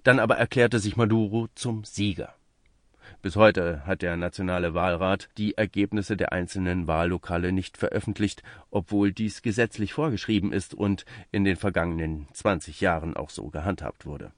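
Guy reading political journalism out loud, scripted, kinda low voice, dry recording. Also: normal speed, no room sound. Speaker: reader of a translated news article. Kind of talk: scripted narration, read-aloud political journalism.

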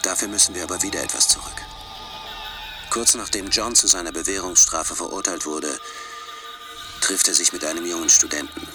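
Low-voiced insider telling secrets played by a man. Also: steady tone, normal speed, long pauses, over music, lightly acted. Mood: confiding. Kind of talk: German radio drama storytelling.

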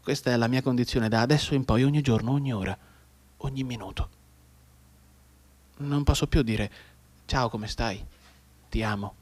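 An actor playing a maimed, despairing young soldier in a radio drama monologue, brooding and halting, moving between hushed and agitated delivery. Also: male voice, medium pitch, lightly acted.

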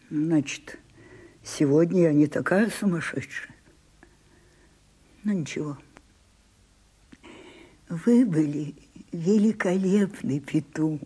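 An actress in a radio play, playing an imperious, capricious ageing stage diva with a theatrical, commanding, husky delivery. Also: female voice, on the low side, mellow. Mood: teasing, fond.

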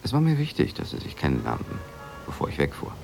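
Concerned husband narrating in radio drama: very deep, creaky, measured and confiding, music behind. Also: male voice, wildly swooping pitch, heavily acted. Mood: reflective, concerned. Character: worried, measured husband recounting events about his wife.

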